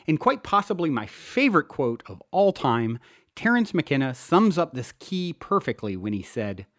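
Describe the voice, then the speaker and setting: Expressive man narrating, very lively pitch. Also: moderate pace, no room sound, clean studio sound. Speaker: author and show host reading own book. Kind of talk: audiobook narration, first-person self-help.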